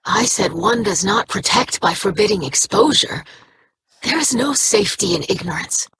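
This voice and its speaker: Brief: female actor as a steady commanding leader, composed, noisy game rip. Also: medium pitch, moderate pace, lightly acted. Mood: firm.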